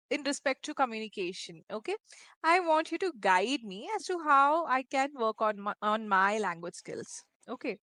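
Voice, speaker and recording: A woman's voice: fairly high pitch, fairly bright tone, no room sound, low-quality recording. Encouraging woman tutor, Malayalam speaker. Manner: encouraging, talks in long flowing turns with "you know" and "right?". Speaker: English tutor. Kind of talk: one-on-one conversation lesson.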